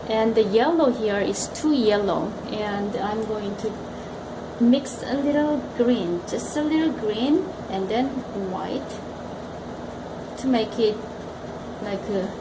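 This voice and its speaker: A woman with a Korean accent, teaching painting and talking slowly and thoughtfully while she works. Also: fairly high pitch, reverberant, background noise. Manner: slow and thoughtful, talks while working. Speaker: art teacher. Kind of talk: painting demonstration. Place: art gallery studio room.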